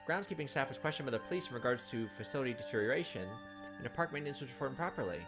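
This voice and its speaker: A man's voice: mid-range voice, expressive, rapid-fire. A man reading game text aloud as a let's play host, adding informal, playful commentary. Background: game music.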